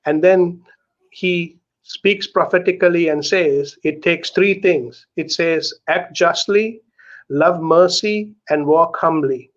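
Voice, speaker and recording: A man's voice: fairly high pitch, takes it slowly, highs cut off. A middle-aged man from Sri Lanka talking earnestly as a guest, often checking in with "right?", heard over a video call. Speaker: author and guest. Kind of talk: candid conversational interview talk.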